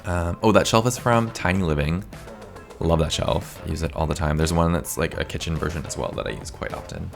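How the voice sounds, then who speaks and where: A young man from Canada, slightly hoarse from losing his voice, giving a chatty, rambling voice-over commentary with lots of "like". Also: a bass voice, fairly quick, music in the background. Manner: chatty, rambling, says "like" often. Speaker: video game builder, channel host.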